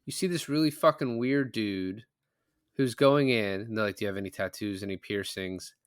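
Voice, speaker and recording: A man's voice: on the low side, moderate pace, no room sound, studio quality. A man in a casual film discussion, opinionated and emphatic, swearing freely as he makes his points. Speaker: film podcast panelist.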